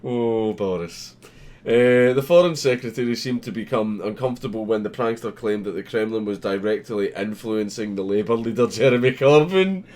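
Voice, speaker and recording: A man's voice: fairly low pitch, normal speed, a little mellow. A man giving casual commentary as he reads a news article aloud, swearing freely in an amused, sardonic manner. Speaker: host.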